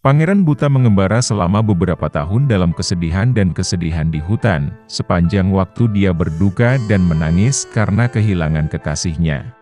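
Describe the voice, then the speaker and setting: A man narrating a children's fairy tale in a calm, even storybook reading style. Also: low voice. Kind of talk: scripted bedtime story narration.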